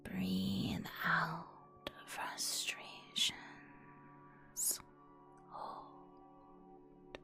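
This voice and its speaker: Very slow whispering woman, ASMR meditation. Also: very deep voice, expressive, soft background music. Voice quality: breathy whisper. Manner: soothing, hushed, instructional with long pauses. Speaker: guided meditation host.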